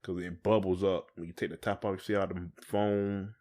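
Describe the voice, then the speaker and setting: A man's voice: low-pitched, level tone, moderate pace, a little mellow. An American man co-hosting a podcast, talking in a casual, informal and colloquial way. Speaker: podcast co-host. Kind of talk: casual conversational chat.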